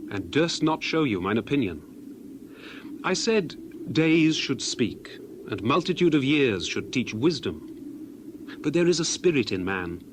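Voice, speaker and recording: A man's voice: medium pitch, noisy background, natural voice. A man playing an indignant, earnest young man in dramatized scripture, speaking in emphatic, oratorical archaic phrases. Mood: earnest, restrained indignation.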